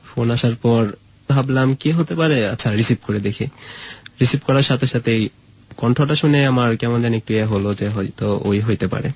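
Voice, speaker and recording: Husky young man telling his story. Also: mid-range voice, moderate pace, darkish tone, muffled recording. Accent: Bangladeshi. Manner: colloquial, acts out remembered dialogue. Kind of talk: casual personal storytelling.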